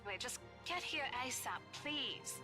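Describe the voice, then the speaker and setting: A woman's voice: sits high, lively intonation, a little slow. An actress voicing a breezy, sceptical young female realtor in a visual novel, teasing and emphatic in manner. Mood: playfully exasperated. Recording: loud game music behind.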